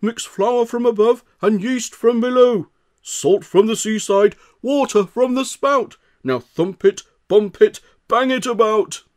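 A man voicing an excitable, bossy village baker in a storybook, declaiming loudly in exclamations. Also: sits high, unhurried, voice pushed up. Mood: commanding. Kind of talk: storybook character voice.

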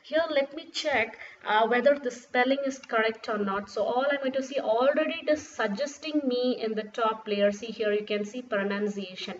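A woman whose first language is Urdu, walking through a screen-recorded software tutorial step by step with fillers and restarts. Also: on the high side, normal speed, few pauses. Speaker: tutorial presenter. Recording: dull lo-fi sound with faint noise.

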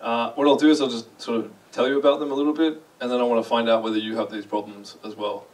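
Young Australian guy on a stage, relaxed and self-mocking, a bit distant from the mic in a large hall. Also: mid-range voice, fast. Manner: chatty, self-deprecating, asks the audience questions. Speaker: conference speaker. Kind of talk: casual conference talk. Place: large dark lecture hall.

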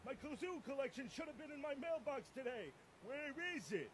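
A man acts out a brash cartoon dog, a wisecracking puppet who loves to tease. The voice is pitched very high for a man and playfully pushy, with faint noise behind it. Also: very animated, fairly quick. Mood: demanding.

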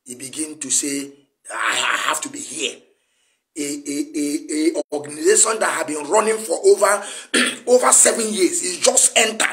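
Nigerian man, 40s, Igbo first language, high-pitched, emphatic political speech to camera in a small room. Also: fairly bright tone. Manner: emphatic, lecturing, gesturing a lot. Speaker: activist commentator.

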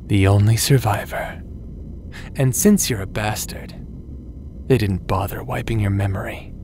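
A man acting as a taunting, manipulative villain in a dramatic audio roleplay, with a drawn-out, mocking delivery. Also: fairly low pitch, darkish tone, slight background noise, natural voice. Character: taunting male villain, manipulative, mocking, coldly friendly tempter.

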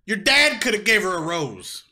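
Young man, wildly swinging pitch, very bright tone, joking, headset mic. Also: sing-song, moderate pace. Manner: joking, loud quips. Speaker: co-host. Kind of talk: casual reaction chat. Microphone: gaming headset.

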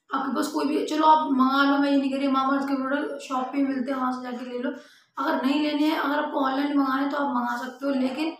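A woman's voice: high-pitched, a bit bright. A young adult woman, explaining things casually and directly to her viewers as a vlogger. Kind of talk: casual awareness talk to camera. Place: small room at home. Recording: very echoey room, faint noise.

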